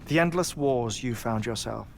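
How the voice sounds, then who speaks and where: An actor playing a gentle, obsessive male scientist with a weak heart, who talks in a soft, thoughtful, deliberate way. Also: medium pitch, gravelly, slight background noise, natural voice. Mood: musing. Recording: game cutscene with music behind.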